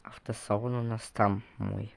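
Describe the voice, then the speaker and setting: A man's voice: on the low side, level tone, unhurried, vocal fry, noisy background. A young man casually commenting on his gameplay, rambling and correcting himself as he talks to viewers, recovering from a cough. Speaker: gaming video creator.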